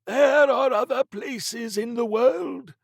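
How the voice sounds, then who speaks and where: Sinister half-fish cultist, audiobook horror; croaky, strained high pitch, very slow chiding delivery. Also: male voice, no room sound, clean studio sound, exaggerated voice. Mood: chiding menace. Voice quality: croaking, creaky.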